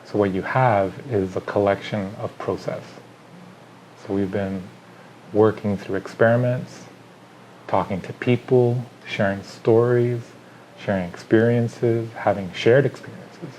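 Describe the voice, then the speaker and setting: Thoughtful Chilean-born man, low voice. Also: steady tone, darkish tone, slight background noise, poor audio. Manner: thoughtful, pauses with fillers, lists ideas. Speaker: artist. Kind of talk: reflective artist interview.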